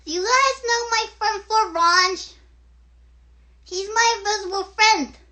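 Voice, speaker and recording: A chatty, excitable little girl puppet for a children's show. The voice is extremely high and bright. The talk is unhurried, eager and rambling, just like a young child's. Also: full character voice.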